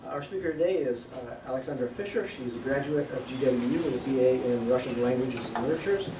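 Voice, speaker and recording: A man's voice: medium pitch, fast talker. Man reading out event announcements. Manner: casual, with many uhs. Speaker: event host. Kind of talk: announcements and speaker introduction. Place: lecture room. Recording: echoey room, distant mic, noisy.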